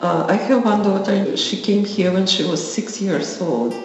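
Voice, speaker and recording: Distant slow woman. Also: medium pitch, faint music behind. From Saint Petersburg, Russia. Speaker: interviewee. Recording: distant, very echoey hall, muffled.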